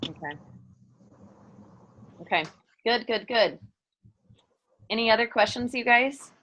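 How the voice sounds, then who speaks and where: A woman's voice: a little high, expressive, takes it slowly, fairly bright tone, lo-fi sound. A woman hosting a team call, giving a casual, encouraging recap in an informal way. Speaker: host, team leader. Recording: noisy call audio with clicks.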